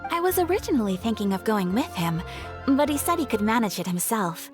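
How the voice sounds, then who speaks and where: A woman playing a gentle, polite and refined young noblewoman in a video game. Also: fairly high pitch, sing-song, brisk, over music. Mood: serene.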